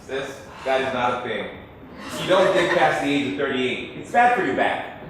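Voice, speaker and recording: A man's voice: medium pitch, expressive, normal speed, a bit bright, close to an everyday voice. A man acting a breezy, self-satisfied playboy son in a stage play. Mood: teasing. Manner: flippant, self-satisfied, theatrical. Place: indoor theatre stage, recorded from far off. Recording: echoey theatre, distant mic, faint noise.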